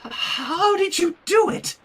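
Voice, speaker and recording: A man's voice: very high voice, lively intonation, slow, pushed and bright, pitched above the performer's own voice, heavily acted. A man voicing an earnest, exasperated young woman babysitter who sounds flustered and huffy in a story reading. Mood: baffled.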